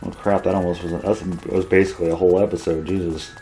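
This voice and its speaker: Man, very low voice, casual game commentary, dry exasperated tone, faint game music behind. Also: flat delivery, fast talker, mellow. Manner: wry, exasperated about the game. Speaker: co-host, gamer. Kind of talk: casual let's-play commentary.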